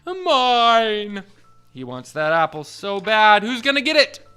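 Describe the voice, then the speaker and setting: A man hosting a children's read-along, reading picture books in a playful, expressive way with asides to the young listeners. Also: high-pitched, clear, resonant tone, faint music behind. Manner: playful, expressive, drawn-out delivery.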